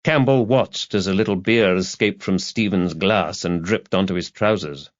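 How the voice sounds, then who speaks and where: A man's voice: deep voice, normal speed, muffled recording. A man narrating a scripted crime novel as an audiobook reader.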